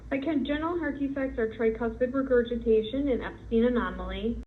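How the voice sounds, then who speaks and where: A woman's voice: on the high side, brisk, slight background noise. Woman in her thirties, tinny phone-like sound. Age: 30s. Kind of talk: personal testimonial.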